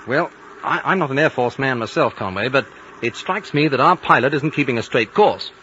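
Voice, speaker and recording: An actor playing an impetuous, sarcastic young British vice consul in a radio drama, agitated and clipped. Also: male voice, medium pitch, animated, fairly quick, sharp tone. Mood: alarmed. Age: young adult. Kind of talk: 1950s radio drama acting. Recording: noisy, muffled old radio broadcast.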